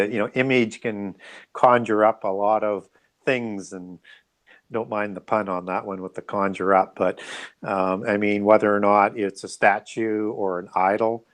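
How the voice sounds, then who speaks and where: A man speaking as an interview guest, explaining his views in a conversational, musing way. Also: fairly low pitch.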